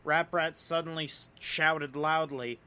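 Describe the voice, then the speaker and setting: A man performs a scripted horror narration. His voice sits a little high and has a bright, tense edge. He leans theatrically on key words, stretching them out for effect. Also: fairly even intonation, unhurried, clean tone. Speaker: narrator reading a horror story.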